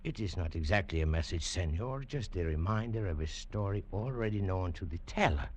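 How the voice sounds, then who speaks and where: An actor playing a courteous, cautious Peruvian museum curator in a 1940s radio drama. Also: male voice, deep voice, lively intonation, brisk. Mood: intrigued.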